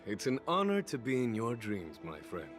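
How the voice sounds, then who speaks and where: A courteous, composed general from a video game. His mid-pitched voice swings expressively as he speaks in gracious, formal phrases, with faint music behind him. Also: huge pitch swings, moderate pace.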